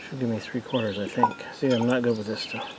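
A man's voice: medium pitch, steady tone, quick pace, noisy background. A man talking casually to himself while cooking a meal on a camp stove outdoors, unsure and easygoing. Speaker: outdoor vlogger. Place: outdoor campsite by a lake.

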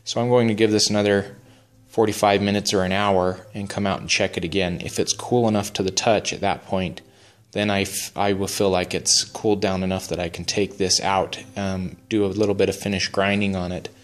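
A man in his garage explaining a repair in a plain, unhurried way, with frequent ums and restarts. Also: deep voice, flat delivery. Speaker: hobby welder. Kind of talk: casual how-to narration. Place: home garage workshop.

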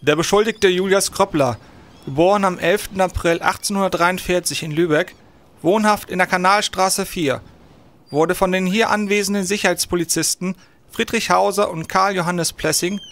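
An actor playing a grave, formal court official in a radio play, declaiming in official tones. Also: male voice, high-pitched, moderate pace, fairly bright tone. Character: formal male court official reading out a death sentence.

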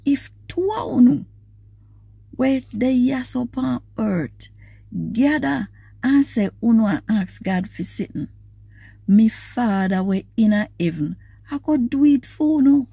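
A middle-aged woman with a Jamaican accent reading aloud devotionally in a measured, expressive way. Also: on the high side, gravelly, husky, no echo. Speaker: scripture reader. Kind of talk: devotional read-aloud in a casual, personal setting. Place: inside a parked car.

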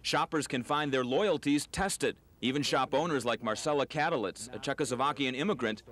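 A man's voice: medium pitch, normal speed, a bit bright. A man narrating a scripted feature story as a TV news reporter on 1980s field tape.

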